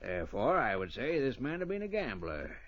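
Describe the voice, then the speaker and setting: An actor playing a fussy, morbidly keen frontier doctor in an old radio western, muttering and repeating himself pedantically. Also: male voice, medium pitch, wildly swooping pitch, brisk, a bit bright, exaggerated voice. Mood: musing, pedantic. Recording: dull old radio recording.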